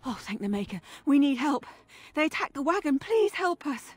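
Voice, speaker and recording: An actress playing a frantic traveller in a video game, begging urgently for help. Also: female voice, sits high, big pitch swings, normal speed. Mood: urgent pleading. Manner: urgent, pleading. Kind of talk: dramatic video game acting.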